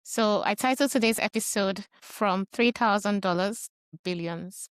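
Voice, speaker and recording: A woman's voice: mid-range voice, measured pace, clean tone, no echo. A woman in a mid-range voice hosts a podcast interview. She introduces her guest and asks questions in a friendly, easy manner. The sound is dry and close. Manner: friendly, laughs often, reads intros. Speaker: podcast host.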